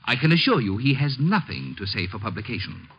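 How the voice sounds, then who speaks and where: An actor playing a fussy, officious hotel desk clerk in a 1950s radio drama, prim, guarded and condescending in a mock-polite way. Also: male voice, mid-range voice, very animated, brisk, breathy edge. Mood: haughty, sceptical. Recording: muffled old 1950s radio broadcast, faint noise.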